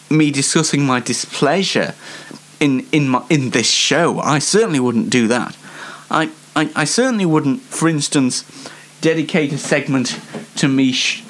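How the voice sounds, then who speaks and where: A man's voice: a little high, a bit bright. A young man hosting a comedy web show, chatting casually to viewers in a rambling, hesitant, self-deprecating way with dry mock indignation. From Yorkshire, England.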